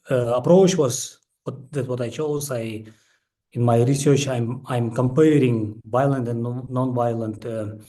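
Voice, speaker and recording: Male researcher, non-native English speaker, mellow relaxed tone at medium pitch, hesitant stop-start delivery of an academic talk. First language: not English. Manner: halting, frequent hesitations and pauses. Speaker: researcher and book author presenting his study. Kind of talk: academic presentation with slides.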